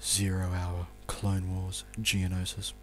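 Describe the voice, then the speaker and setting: A man's voice: low voice, completely flat delivery, takes it slowly, a little hiss or hum. A young Australian man giving casual, off-the-cuff video game commentary, rambling in an offhand mutter and swearing now and then. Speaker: let's play commentator.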